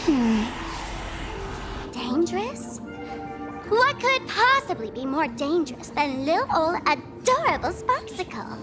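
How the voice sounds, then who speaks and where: A woman's voice: very high-pitched, sing-song, slow, pauses often, exaggerated voice. A woman playing a playful, self-adoring young streamer and trickster in a video game, with a theatrical, teasing manner. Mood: intrigued. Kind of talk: animated video game voice acting. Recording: music behind the voice.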